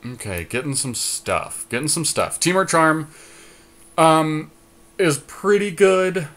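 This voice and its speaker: A man's voice: a little high, expressive, takes it slowly, fairly bright tone. A man co-hosting a podcast, giving casual, analytical, opinionated commentary on a card game draft. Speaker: podcast co-host.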